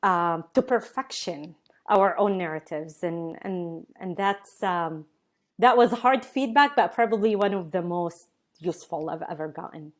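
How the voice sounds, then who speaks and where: Slow, thoughtful woman. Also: lowish voice. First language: Arabic. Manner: thoughtful, hesitant, many fillers and restarts. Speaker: guest. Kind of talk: webinar interview.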